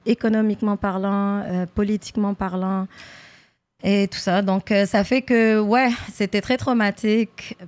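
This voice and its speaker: A woman's voice: medium pitch, fairly even intonation, a little slow, darkish tone. A woman in her thirties, from Algeria, whose first language is an Algerian Arabic dialect. She talks casually as a guest in an interview and slips English phrases into her French. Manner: mixes French with English phrases, frequent fillers. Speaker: guest rapper.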